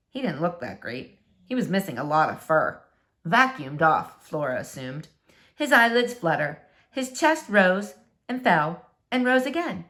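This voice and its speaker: A woman narrating a children's storybook aloud with expressive, dramatic, deliberate phrasing. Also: mid-range voice. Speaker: storybook reader.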